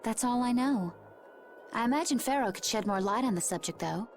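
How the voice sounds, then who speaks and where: A woman's voice: a little high, animated, fairly quick. Young female warrior, composed, secretive, dutiful, video game dialogue, music behind. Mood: calm, grave. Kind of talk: scripted video game voice acting.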